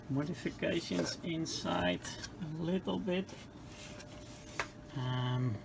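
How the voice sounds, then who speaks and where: A man who is not a native English speaker gives casual hands-on repair commentary as host, halting and thinking aloud in a gravelly voice. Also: on the high side. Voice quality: gravelly, creaky. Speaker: host of a radio restoration channel. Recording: clearly noisy home-made recording.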